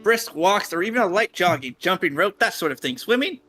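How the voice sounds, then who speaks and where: Man putting on a bright, cheerful doctor. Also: sits high, very animated, brisk, soft background music, full character voice. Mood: advisory. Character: smiling male doctor giving brisk, reassuring advice.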